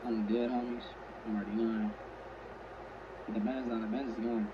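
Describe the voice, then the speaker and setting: A man's voice: on the low side, flat delivery, moderate pace, muffled recording. A man bantering casually in an online roleplay game, brash and slangy, swearing constantly. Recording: noisy game audio background.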